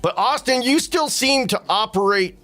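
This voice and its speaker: A man's voice: very high-pitched, expressive, moderate pace, sharp tone. Joking, rambling male podcast host. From Texas. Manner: rambling, joking, many fillers. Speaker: podcast host, radio personality. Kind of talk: casual podcast chat.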